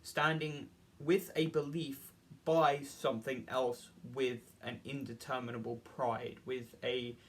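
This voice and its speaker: A man giving an earnest, unscripted opinion monologue in a halting, rambling way, often repeating and rephrasing as he argues. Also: medium pitch, flat delivery, slight background noise.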